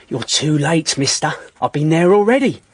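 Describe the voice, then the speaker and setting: An actor playing a cocky, taunting street thug with a London Cockney accent and slangy, rough speech in a radio drama. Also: male voice, fairly high pitch, lively intonation, moderate pace. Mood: menacing taunting. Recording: long hall-like reverb.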